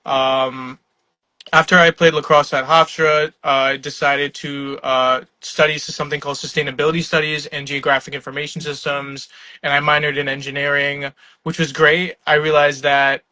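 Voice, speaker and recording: A man's voice: fairly high pitch, flat delivery, moderate pace, bright, forceful tone, no echo, rough recording. A young man from New York, a startup founder, talking casually and laid-back on an online video call, with plenty of fillers and laughs. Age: young adult. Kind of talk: casual conversational interview. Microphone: online live video call.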